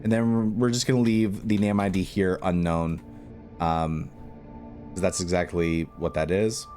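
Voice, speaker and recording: A man presenting an unscripted step-by-step screen tutorial, thinking aloud in a halting way as he explains. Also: sits low, fairly even intonation, darkish tone, soft background music.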